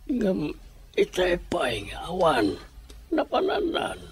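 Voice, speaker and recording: An actor playing an uneasy man of the household in a radio drama, raspy and breathy, with an Ilocano Filipino accent. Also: high voice, wildly swooping pitch, takes it slowly, full character voice. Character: uneasy man of the household urging a phone call.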